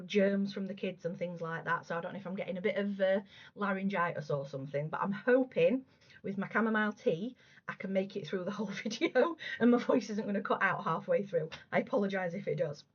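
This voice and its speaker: A woman in her thirties, a crafting vlogger, chatting casually and gushing about her work, her voice going a little hoarse. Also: mid-range voice, brisk. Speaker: cross stitch vlogger. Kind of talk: casual show-and-tell chat. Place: room at home. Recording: slightly dull lo-fi home recording.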